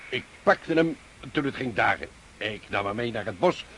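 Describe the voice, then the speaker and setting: An actor in a Dutch radio play playing a rough, cheeky Cossack scout who tells boastful tales with feigned innocence. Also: male voice, lowish voice, expressive, moderate pace, a bit bright, a little hiss or hum. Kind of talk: Dutch radio drama acting.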